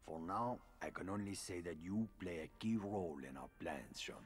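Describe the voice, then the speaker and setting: A guarded resistance leader speaks low and measured. He gives little away. Faint game music runs beneath him. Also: expressive. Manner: measured, secretive.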